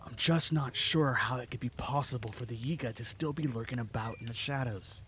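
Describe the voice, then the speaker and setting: An actor playing an earnest, dutiful young knight in a fan audio drama. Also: male voice, mid-range voice, brisk, a bit bright, lightly acted. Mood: troubled.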